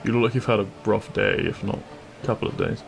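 A man playing a cheeky, kind-hearted bandit prince in an intimate audio roleplay, with a gravelly voice and a teasing, reassuring manner. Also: fairly low pitch, steady tone, fast talker, faint music behind, rough recording. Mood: tender, flirtatious.

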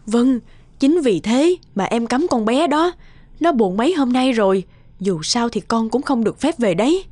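A woman's voice: a little high, lively intonation, moderate pace, a little mellow, no room sound. Woman voicing grave middle-aged cartoon mother. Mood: worried. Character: worried middle-aged mother recounting a sad family past. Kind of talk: animated folk-tale dubbing. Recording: music under the voice.

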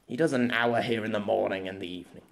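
A man voicing a reserved, dry-spoken older gentleman, slightly lower than his narrating voice. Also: quick pace, vocal fry. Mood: flustered. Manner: dry, flat, grumbling.

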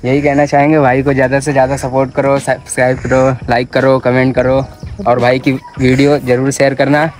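A man's voice: mid-range voice, level tone, fairly quick. A man speaking casually as a friend appearing on a vlog. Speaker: vlogger's friend as guest. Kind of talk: casual chat, plugging the channel.

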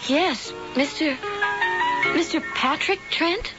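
A high-voiced, shy young innocent. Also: female voice. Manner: halting, apologetic. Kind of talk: 1970s radio drama acting. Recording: muffled 1970s radio recording, loud music.

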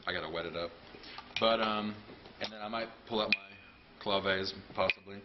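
A man's voice: on the low side, fairly even intonation, moderate pace. A man in his thirties, a clarinetist, chatting playfully and loosely about his instruments in a large rehearsal room, picked up by a camera mic. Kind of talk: casual explanation of his instruments. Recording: dull lo-fi sound with noise.

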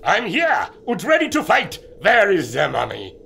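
Man as a gravelly excitable inventor. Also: high-pitched, unhurried, very noisy, exaggerated voice. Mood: alarmed. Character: excitable German inventor, protective of his robot. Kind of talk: radio adventure drama acting.

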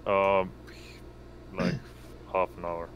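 A deep-voiced man narrates a tabletop game as game master. He takes his time and pauses often, with a faint hum behind him. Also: level tone, dull audio. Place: indoors on an online voice call.

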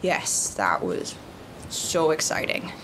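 Eager, secretive young seafarer for a tabletop game, played by a woman, low-pitched, over clear background noise. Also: very animated, slow, a bit bright, heavily acted, low-quality recording. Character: excitable young person at sea, secretive with an uncle.

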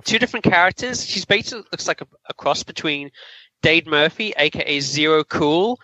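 Male podcast host, opinionated and informal, high-ish and strikingly bright, close dry sound. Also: animated, brisk, dry recording, muffled recording. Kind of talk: casual film review chat.

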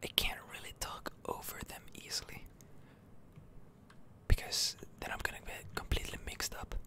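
A man giving hushed, halting game commentary for ASMR in a breathy whisper, close to the microphone. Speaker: gaming ASMR streamer.

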